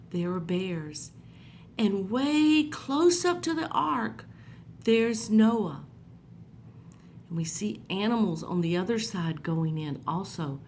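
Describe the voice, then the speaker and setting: Slow gentle woman reading to kids. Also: mid-range voice, a little hiss or hum. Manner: slow, gentle, pointing out pictures to young listeners. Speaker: children's storyteller. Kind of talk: reading a Bible story to children.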